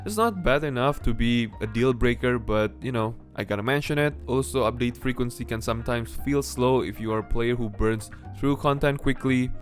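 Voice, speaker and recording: A man delivers a casual video game review in a mid-pitched voice. He is blunt and conversational, dropping in offhand asides as he gives his opinions. Guitar music plays softly underneath. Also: moderate pace, hardly any pauses.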